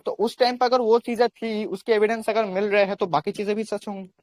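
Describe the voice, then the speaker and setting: A high-voiced man with an Indian accent argues his case in a debate. He explains insistently and often repeats phrases to hold the floor. The sound is very dry, with little room to it. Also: fairly even intonation, a little fast, dry recording. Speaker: religious debater on a recorded call.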